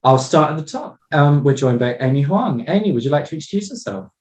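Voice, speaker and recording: A man's voice: fairly high pitch, expressive, fairly quick, darkish tone, reverberant. A man moderating an online panel, politely introducing each guest over a video call.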